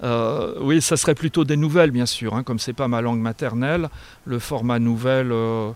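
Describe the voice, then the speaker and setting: Man, middle pitch, interview guest, author, conversational, reflective, hesitant phrasing. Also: moderate pace, darkish tone. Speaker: guest author and small publisher. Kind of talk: conversational radio interview answers.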